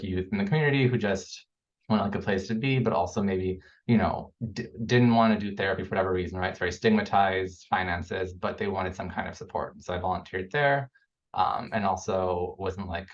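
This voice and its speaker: A young man, a clinical psychology graduate student, hoarse and losing his voice, answering a panel question over a video call with many fillers. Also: deep voice, muffled recording. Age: young adult.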